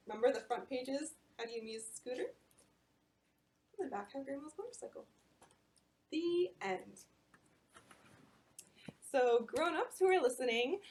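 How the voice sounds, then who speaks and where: A woman librarian reading a picture book aloud at bedtime storytime and explaining it to children in an animated way. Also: on the high side.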